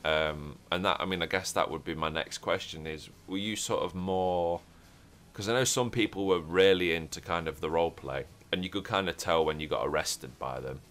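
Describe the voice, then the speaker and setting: A man's voice: deep voice, normal speed, fairly bright tone. A man hosting a roleplay podcast, chatting casually and rambling with many fillers and restarts. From Europe. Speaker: podcast host.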